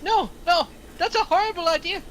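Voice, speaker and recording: A man's voice: extremely high pitch, fairly quick, slight background noise. A man reading the part of a nervous, stammering getaway driver in a screenplay table read. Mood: alarmed. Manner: stammering, excitable.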